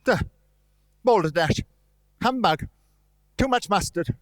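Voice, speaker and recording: An actor playing a scornful, bitter old miser in a radio play, with curt, grumbling delivery. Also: male voice, high-pitched, lively intonation, takes it slowly, fairly bright tone, no echo, exaggerated voice. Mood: defiant. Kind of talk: stage radio-play acting.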